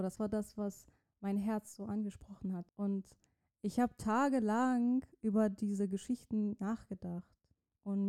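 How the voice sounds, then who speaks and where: A woman's voice: medium pitch, dark tone. A young woman from Iran, Persian as her first language, answering interview questions casually and searching for words with many restarts. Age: young adult. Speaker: interview guest, student writer.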